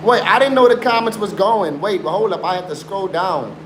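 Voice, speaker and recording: A man's voice: high-pitched, moderate pace, a bit bright. A man around forty, raised in South Africa, chatting insistently with viewers on a live stream and swearing to his story. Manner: insistent, swears oaths, mixes in Afrikaans. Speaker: livestreamer. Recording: roomy sound with background noise.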